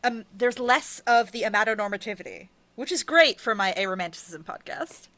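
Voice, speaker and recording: A woman's voice: fairly high pitch, lively intonation, fairly quick, pushed and bright, dry recording. A woman being interviewed as an author, chatting casually in an effusive, slangy, joking way. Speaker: interviewed author of an audio drama.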